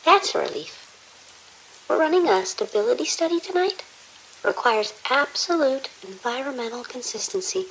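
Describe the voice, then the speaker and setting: A woman's voice: high voice, lively intonation, moderate pace, fairly bright tone, rough recording. A woman playing a conscientious, polite research doctor on night duty in an audio drama, speaking precisely. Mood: calm, polite. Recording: faint sizzling hiss.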